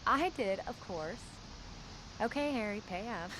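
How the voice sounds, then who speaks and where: A woman voicing a bubbly, excited young actress in a video game. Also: medium pitch, measured pace, noisy background, natural voice. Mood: cheerful.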